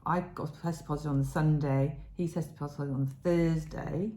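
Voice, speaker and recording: A middle-aged English woman with a croaky voice, chatting loosely about her knitting, full of fillers. Her tone is lowish and mellow. The sound carries an echo, as if the room is bare. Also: steady tone, normal speed, a little hiss or hum. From England. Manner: chatty, rambling, many fillers. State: croaky voice after illness. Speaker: podcast co-host. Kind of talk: casual knitting chat. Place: home room.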